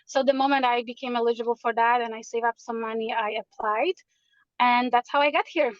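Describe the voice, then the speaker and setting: A woman's voice: on the high side, fairly even intonation, a little fast, no room sound, dull audio. A woman from Poland, a realtor earnestly explaining her background and the market as a guest in an interview. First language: Polish. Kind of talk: interview answers.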